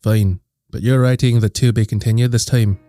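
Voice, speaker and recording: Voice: medium pitch, fairly even intonation, moderate pace, mellow, dry recording, clean studio sound, faint music behind, lightly acted. A performer playing an earnest, easily exasperated comic book editor who loves drama, in prodding audio drama banter.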